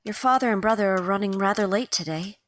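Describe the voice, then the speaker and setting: A woman's voice: mid-range voice, fast talker, a bit bright, slight background noise, natural voice. A mid-range voice with formal phrasing and dry composure. It is a woman acting a proper, wry mother of a well-off Victorian household, in audio drama style. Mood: wry, composed.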